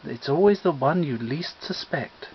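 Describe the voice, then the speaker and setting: Male storyteller for comic narration; lively, varied intonation, dull home-recorded sound. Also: a little high, a little slow, breathy edge. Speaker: story reader.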